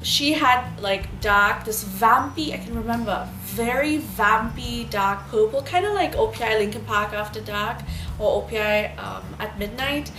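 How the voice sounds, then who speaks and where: Trinidadian woman in her thirties, chatty beauty vlogger, slightly high voice, roomy echo with faint music behind. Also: a bit bright. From Trinidad and Tobago. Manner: chatty, rambling, many fillers. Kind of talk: casual storytelling vlog.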